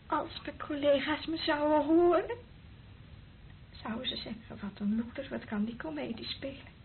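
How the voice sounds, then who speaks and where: A woman's voice: sits high, steady tone, normal speed, creaky. An actress playing a vulnerable, guilt-ridden woman who secretly loves a priest, intimate and hesitant, in a Dutch radio drama. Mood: anxious, confessing. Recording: dull, lo-fi old radio recording, faint noise.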